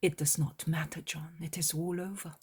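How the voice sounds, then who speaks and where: Quiet, calm male teacher in a storybook reading, soft and breathy, read by a woman. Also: deep voice, moderate pace. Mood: gentle.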